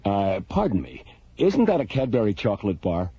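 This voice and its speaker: A man's voice: on the low side, very animated, moderate pace, dark tone, husky, no echo. An actor playing a polite but greedy, wheedling man in a comic radio advertisement. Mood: sheepish, wheedling. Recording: dry, dull radio recording.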